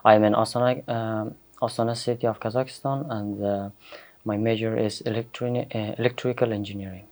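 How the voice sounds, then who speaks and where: A young man from Afghanistan, a student guest in a studio, answering in a halting, earnest way with many pauses. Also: on the low side, fairly even intonation. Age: young adult. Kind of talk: hesitant interview answers.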